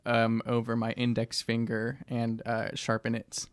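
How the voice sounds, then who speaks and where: A man describes his moves as a player in a tabletop game. His voice sits at a middle pitch, casual yet halting and deliberate, in a dry, close recording. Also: completely flat delivery, smooth, no echo. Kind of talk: casual improvised play-by-play of own actions.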